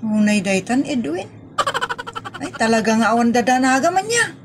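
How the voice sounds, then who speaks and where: A woman's voice: a little high, sing-song, normal speed, a little hiss or hum. A woman with an Ilocano accent playing a nagging, long-suffering woman in a comedic audio drama. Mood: reproachful.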